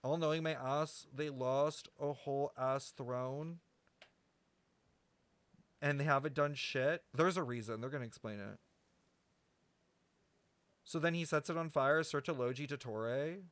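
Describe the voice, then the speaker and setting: A man streaming casual game commentary, talking to chat in an animated, slangy, sweary way. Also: medium pitch, measured pace, pauses often, clean tone, no room sound. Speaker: streamer reacting to a video game. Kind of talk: casual livestream commentary and lore speculation.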